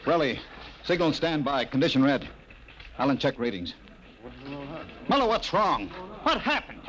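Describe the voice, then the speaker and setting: A man's voice: a little high, wildly swooping pitch, moderate pace, muffled recording, crowd noise. A man playing a stern, impatient army general who gives clipped orders, in an old radio drama. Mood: alarmed. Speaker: general commanding a bomb test. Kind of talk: 1950s radio drama acting. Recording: old radio recording with noisy background.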